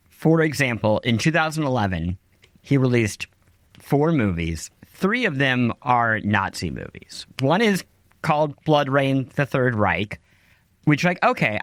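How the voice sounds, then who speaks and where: Animated male podcast interviewer, dry sound. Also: mid-range voice, no room sound. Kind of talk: conversational interview hosting.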